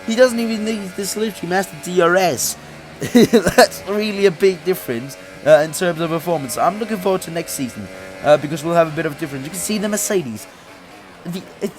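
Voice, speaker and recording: A man's voice: high-pitched, expressive, moderate pace, a bit bright, faint music behind. A young man who says he is sick, chatting through his racing game career race, laughing often and restarting his sentences. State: sick, voice a little off. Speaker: gaming YouTuber.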